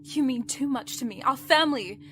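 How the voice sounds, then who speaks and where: A woman's voice: high-pitched, fairly quick, a bit bright, faint music behind. A woman playing a protective, exasperated companion to a villain in an audio roleplay, speaking earnestly and reproachfully. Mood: worried, pleading. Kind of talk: dramatic audio roleplay acting.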